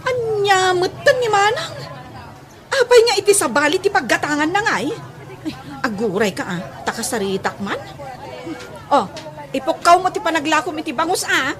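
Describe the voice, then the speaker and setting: A woman's voice: extremely high pitch, big pitch swings, moderate pace, pauses often. A woman playing a scolding market fish-vendor wife in an Ilocano comedy radio drama, with an Ilocano accent. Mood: indignant. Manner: scolding, loud vendor calls. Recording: noisy mix with faint crowd behind.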